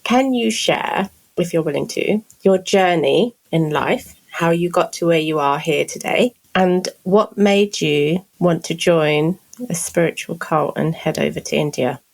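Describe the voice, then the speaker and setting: Woman podcast host, lowish voice, measured and gently inquiring. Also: vocal fry. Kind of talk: interview questions.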